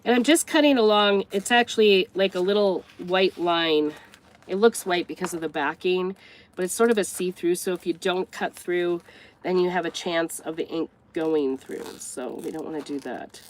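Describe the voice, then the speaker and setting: A grandmotherly woman with a congested, stuffed-up voice talks her way through a craft project. Her voice sits a little low, and she rambles and corrects herself as she works. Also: moderate pace, slight background noise, low-quality recording. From United States. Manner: chatty, rambling, thinks aloud while working. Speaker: craft vlogger. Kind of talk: casual craft tutorial chat.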